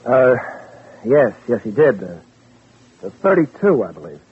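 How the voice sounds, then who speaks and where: A man's voice: medium pitch, very animated, measured pace. An actor playing a cautious, scheming man who passes as a concerned friend, placating and hesitant, in 1940s radio drama style. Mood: guarded, hesitant. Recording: tinny, noisy vintage radio recording.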